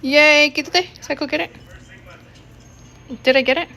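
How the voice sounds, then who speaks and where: A woman's voice: high-pitched, fairly even intonation, measured pace, vocal fry, bright, forceful tone. A woman chatting casually in English and Spanish as she plays a game, speaking in an exclamatory way. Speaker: parent playing an online game with a child. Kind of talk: casual bilingual chat while gaming.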